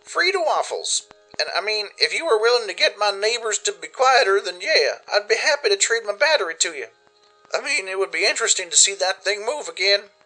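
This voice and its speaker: A man voicing a flustered, sheepish female pony mayor with a halting delivery in a radio play. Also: sits high, big pitch swings, bright, forceful tone, faint music behind, pitched above the performer's own voice, heavily acted, poor audio. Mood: sheepish, agreeable. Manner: halting, stammering.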